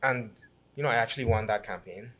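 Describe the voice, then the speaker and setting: A man from Guyana in his thirties, a podcast guest telling his life story in a lively, halting way with many restarts. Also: medium pitch. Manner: animated storyteller with frequent restarts and repeated words. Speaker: guest, businessman and city councillor. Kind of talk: conversational podcast interview.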